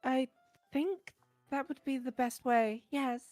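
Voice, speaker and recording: A woman's voice: high-pitched, steady tone, a little mellow, no room sound, faint music behind. A woman voicing a shy, anxious teenage schoolgirl in a visual novel, speaking hesitantly. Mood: nervous, hesitant. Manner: hesitant, halting.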